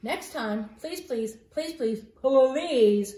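A woman's voice: high-pitched, expressive, very slow, warm tone. A middle-aged woman reading a children's book aloud in a theatrical, playful way with mock-exasperated asides. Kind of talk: animated read-aloud of a picture book for children. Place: living room at home, indoors. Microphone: phone camera a few feet away. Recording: roomy home phone-video sound.

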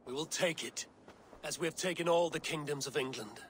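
An actor playing a resolute Viking warrior jarl in a video game, firm, terse and confident. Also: male voice, a little high, a little fast, a bit bright, a little hiss or hum, natural voice. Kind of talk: dramatic video game acting.

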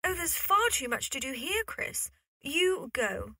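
An actress as a saucy young Victorian sister with a high, bright voice. She teases with a lot of swing in her pitch, in an audio drama. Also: sing-song, slow, no room sound, studio quality, exaggerated voice. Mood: teasing. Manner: teasing, provocative. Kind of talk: period audio drama acting.

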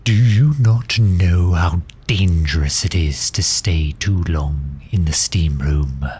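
Guy doing a bossy protective villain for audio roleplay, real deep n breathy, formal and stern. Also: a little mellow, heavily acted. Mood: alarmed, scolding. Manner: formal, stern, halting when flustered. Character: protective, commanding male villain fretting over injured hero. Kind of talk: scripted roleplay audio drama in ASMR style.

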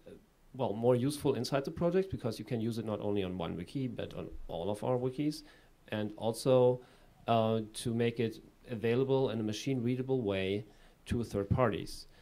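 A man's voice: medium pitch, dark tone. Man answering on a conference panel. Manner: measured, with frequent fillers and restarts. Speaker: panelist presenting a project. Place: conference room with audience. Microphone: table microphone.

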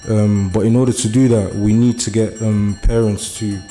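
A man's voice: fairly low pitch, fairly even intonation, normal speed, mellow, faint music behind. A young man from Germany giving an earnest public speech at a meeting, hesitant and full of fillers at first, then building to urgent appeals. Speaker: community speaker. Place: meeting room.

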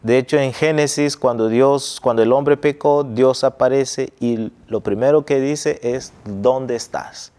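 A middle-aged man from Angola, a pastor hosting a Bible study review in a TV studio, teaching in a measured way on a lapel mic. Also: mid-range voice, mellow. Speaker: pastor and host.

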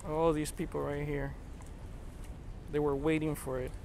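A man with a fairly high voice narrates his drone flight in a chatty, marveling way. He talks outdoors, with clear street and traffic noise running behind him. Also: flat delivery, measured pace, darkish tone. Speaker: drone videographer. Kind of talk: casual live narration while flying a drone.

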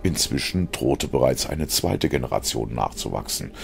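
Male sci-fi audiobook narrator: extremely low, gravelly, dramatic delivery with lively intonation. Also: moderate pace. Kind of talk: dramatic scripted science fiction narration. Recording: music behind the narration. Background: music.

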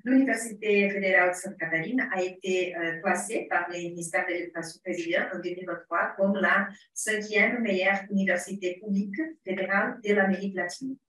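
A woman's voice: mid-range voice, steady tone, a little fast, hardly any pauses, fairly bright tone. Brazilian woman speaking careful, accented French. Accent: Brazilian Portuguese. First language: Portuguese. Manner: careful, hesitant, frequent fillers. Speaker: university professor presenting. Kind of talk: conference presentation. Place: home room, online. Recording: prerecorded video in echoey room, far from mic.

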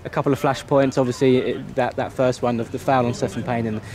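A man's voice: mid-range voice, steady tone, brisk, crowd noise. A man interviewing a football manager after a match at an outdoor ground at night, asking long, rambling questions. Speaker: club media interviewer.

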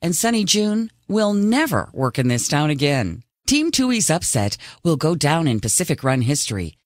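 Game role: theatrical female reality show announcer, low pitch, sweeping intonation, dry sound. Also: big pitch swings, moderate pace, no echo. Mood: grandiose. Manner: theatrical, proclamatory. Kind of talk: dramatic TV announcer in a video game.

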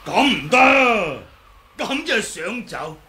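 Radio drama role: quarrelsome old kung fu master, very high bright voice, swooping, Hong Kong Cantonese. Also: male voice, big pitch swings, takes it slowly, exaggerated voice. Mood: wheedling. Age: older. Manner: wheedling, argumentative, exclaiming and chuckling. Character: cheeky, blustering old male martial artist, quarrelsome sore loser. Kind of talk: 1980s radio drama acting. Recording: noisy old 1980s radio recording.

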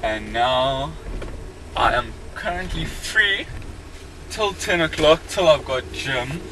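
A young man talking casually to a handheld camera, first in a car, then outdoors in a parking lot, relaxed and chatty, laughing easily. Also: medium pitch, background noise. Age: young adult. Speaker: vlogger. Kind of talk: casual vlog narration. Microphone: handheld camera at arm's length.

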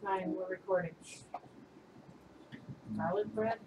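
Excitable woman gaming with a friend. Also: mid-range voice, slow, reverberant, recorded from a distance, background noise. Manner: laughs often, flustered and excitable. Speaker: gaming video host. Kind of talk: casual gameplay chat.

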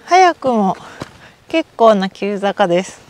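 A woman's voice: mid-range voice, big pitch swings, slow, darkish tone. A middle-aged Japanese woman chatting to herself as she hikes a mountain trail outdoors, recorded on a body-worn camera. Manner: chatty, talks to herself while walking uphill. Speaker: hiking vlogger. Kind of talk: casual solo hiking vlog talk.

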